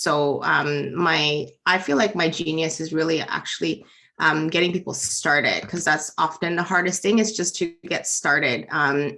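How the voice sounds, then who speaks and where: A woman's voice: lowish voice, a bit bright. A woman in her thirties from the Philippines, a business coach answering interview questions in a friendly, casual way with many fillers. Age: 30s. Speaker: business leadership coach, guest.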